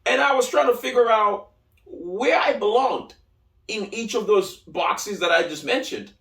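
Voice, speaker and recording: A male podcast host with a Nigerian accent and a notably high voice gives his views solo. He stresses points by saying key phrases again. The sound is distant and roomy, with clear echo around the words. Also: a little slow, sharp tone. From Lagos, Nigeria. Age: 30s. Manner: emphatic, repeats key phrases for effect. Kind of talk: solo opinion podcast monologue. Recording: echoey room, distant from mic.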